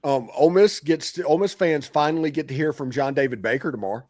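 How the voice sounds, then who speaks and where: A man from Tennessee co-hosting a casual sports podcast, telling stories quickly. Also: fairly high pitch, darkish tone, dry recording, clean studio sound. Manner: quick, storytelling. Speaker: podcast co-host.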